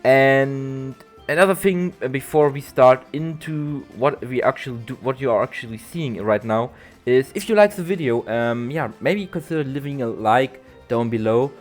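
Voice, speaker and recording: A man, a bit nasal from allergies, doing a halting voiceover for a game build video with lots of ums and restarts. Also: mid-range voice. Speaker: zoo-building game creator. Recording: soft guitar music behind.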